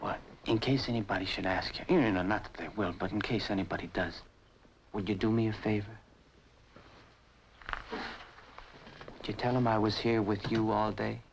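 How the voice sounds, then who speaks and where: An actor playing a shifty, smooth-talking crook in an old crime drama, coaxing, hesitant and pleading under pressure. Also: male voice, lowish voice, fairly even intonation, moderate pace, pauses often, a little hiss or hum, low-quality recording. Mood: cautious.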